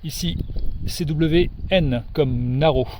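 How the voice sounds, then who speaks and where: A man giving an informal hands-on equipment demonstration as an amateur radio operator, explaining things hesitantly and pausing to find his words. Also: on the high side, dark tone, slight background noise.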